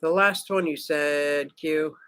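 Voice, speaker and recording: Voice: mid-range voice, steady tone, takes it slowly, a bit bright, no echo. A livestream host chatting casually with viewers from a vacation room, joking around and greeting people by name. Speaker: livestream host reading chat. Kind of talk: casual live chat.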